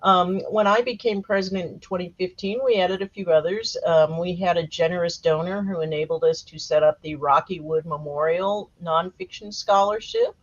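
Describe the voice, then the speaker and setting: A woman's voice: fairly low pitch, lively intonation, normal speed, hardly any pauses. A woman, an author, speaking informally on a panel in dull, lo-fi audio. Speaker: author and Halloween historian, panelist.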